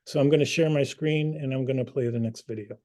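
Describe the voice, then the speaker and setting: A man hosting an online community meeting, speaking earnestly and personally, pausing with fillers and restarts. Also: mid-range voice, fairly even intonation, dark tone. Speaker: community group host.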